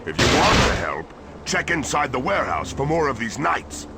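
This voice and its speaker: An actor playing a commanding, urgent fighter in a video game battle scene. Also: male voice, medium pitch, sing-song, moderate pace, noisy background. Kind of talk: scripted video game cutscene acting. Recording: loud music behind the dialogue.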